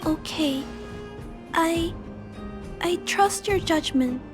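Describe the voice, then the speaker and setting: A tiny, very high girl's voice, earnest and gentle with stubborn resolve. It is childlike video game dialogue, with faint music behind it. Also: very slow, full character voice. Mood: hesitant, trusting. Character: small earnest young girl, gentle and determined.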